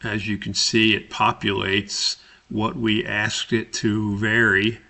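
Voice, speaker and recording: Low, gravelly man teaching step by step. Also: flat delivery, slow pace, pushed and bright. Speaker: instructor. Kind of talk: software tutorial narration, step-by-step lesson.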